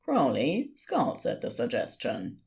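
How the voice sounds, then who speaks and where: A narrator reading a scripted story in a deliberate, expressive storytelling manner. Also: mid-range voice, gravelly.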